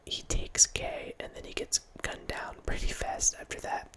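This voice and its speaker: A man in his thirties hosting an ASMR channel, whispering a rambling film chat into a close microphone in a small home room. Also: normal speed. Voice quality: soft unvoiced whisper. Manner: rambling, with restarts and quiet laughs. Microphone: close studio mic with headphones.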